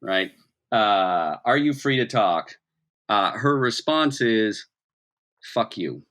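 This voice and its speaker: Game master, male, bright and forceful tone, casual improvised narration with a halting delivery. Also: fairly low pitch, animated.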